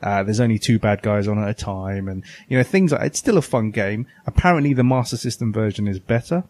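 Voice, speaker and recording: A man's voice: lowish voice, fairly quick, a little mellow. A man co-hosting a podcast, chatting casually and giving opinions with emphasis. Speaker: podcast co-host. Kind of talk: casual retro gaming chat.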